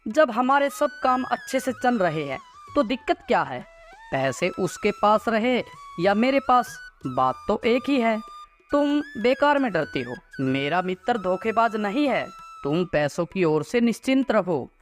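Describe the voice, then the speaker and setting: A man's voice: sits high, sing-song, a little slow, soft background music. Man playing a trusting, reassuring cartoon husband. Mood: reassuring, dismissive. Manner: persuasive, dismissive of worries. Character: trusting, reassuring husband and small-time property dealer.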